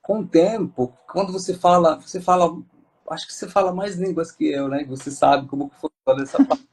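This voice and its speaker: Husky, gravelly-voiced Moroccan man. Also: on the high side, fairly quick, darkish tone. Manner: hesitant, many fillers and restarts. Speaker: guest. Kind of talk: casual interview talk.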